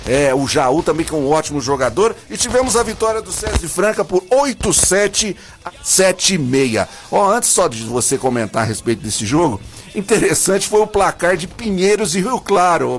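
A man with a somewhat high voice that swoops up and down in a sing-song lilt. He hosts sports radio in a chummy, lively way. Faint music plays behind him. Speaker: radio sports show host. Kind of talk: casual radio sports chat.

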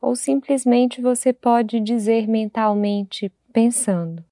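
Mellow Brazilian woman guiding meditation, dry sound. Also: on the high side, steady tone, no echo. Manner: gentle, unhurried, with long pauses between phrases. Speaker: meditation guide. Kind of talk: guided meditation.

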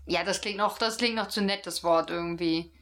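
A woman's voice: lowish voice, moderate pace, a bit bright. A young woman co-hosting a podcast in casual chat, mumbling a bit, laughing and self-mocking. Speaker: podcast co-host.

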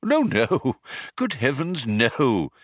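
A man's voice: on the high side, wildly swooping pitch, unhurried, fairly bright tone, no room sound, studio quality, pitched above the performer's own voice, full character voice. A man voicing a polite, mild old alien planet builder, proud and cheerful, in an audiobook. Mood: mildly proud. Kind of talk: audiobook character voice.

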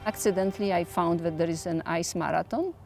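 Polish woman runner, gravelly voice. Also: fairly low pitch, darkish tone, faint music behind. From Poland. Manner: halting, non-native phrasing with many restarts. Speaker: ultramarathon runner. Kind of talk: documentary interview.

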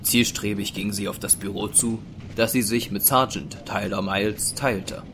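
An actor narrating a crime audio drama as a sober storyteller. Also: male voice, lowish voice, fairly even intonation, normal speed, background noise, natural voice.

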